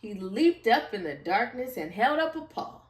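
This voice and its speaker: A woman's voice: on the high side, very animated, moderate pace. A woman storyteller reading a rhyming children's picture book aloud with expression. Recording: echoey room, far from the mic.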